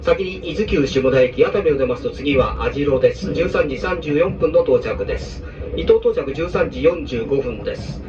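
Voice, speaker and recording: A man's voice: mid-range voice, animated, normal speed, far from the mic. A man working as a train conductor makes a formal, polite scripted announcement, reading out stops and times over a train's public-address speaker. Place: inside a moving train carriage. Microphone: handheld camera away from the PA speaker. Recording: muffled PA picked up from afar, loud train noise. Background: loud train running noise.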